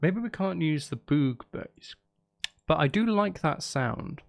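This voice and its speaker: A man's voice: fairly high pitch, expressive, takes it slowly, vocal fry, dry recording. A man streaming casually, muttering and thinking aloud as he works on music. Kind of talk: casual livestream chat while making music. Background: electronic drum beats and synth sounds.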